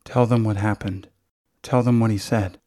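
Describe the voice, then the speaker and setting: A man's voice: fairly low pitch, fairly even intonation, moderate pace, warm tone, no room sound, clean studio sound, natural voice. A man reading the part of a worried young college woman in an audiobook, with a firm, urging, questioning manner. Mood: concerned, urging.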